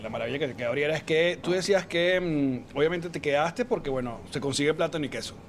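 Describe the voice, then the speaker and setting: A man's voice: a little high, quick pace, noisy background. A man hosting a podcast in a casual, conversational style. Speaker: podcast host. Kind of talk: casual chat.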